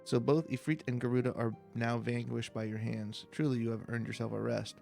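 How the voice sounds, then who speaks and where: A man reading game dialogue aloud in a relaxed let's play style, now and then tripping over a phrase. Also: medium pitch, brisk, vocal fry, faint music behind. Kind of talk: reading game dialogue aloud in a calm, slow-paced playthrough.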